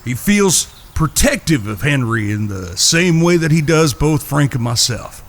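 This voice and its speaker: A man's voice: on the high side, lively intonation. A man with an American accent playing an earnest, polite cowboy peacemaker in a Western audio drama. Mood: reassuring. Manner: polite, measured, folksy. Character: earnest, courteous cowboy, calm peacemaking brother.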